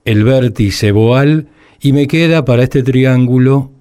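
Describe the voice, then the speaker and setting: Slow, mellow Argentine male interviewer. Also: medium pitch, clean tone. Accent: Argentine Spanish. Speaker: radio interviewer. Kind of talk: thoughtful conversational interviewing.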